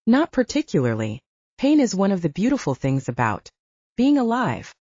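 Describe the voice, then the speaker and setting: A woman's voice: mid-range voice, huge pitch swings, moderate pace, mellow, dry recording, dull audio. A woman voicing a blunt, protective young male butcher who teases, in an audiobook. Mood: matter-of-fact. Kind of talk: audiobook character dialogue.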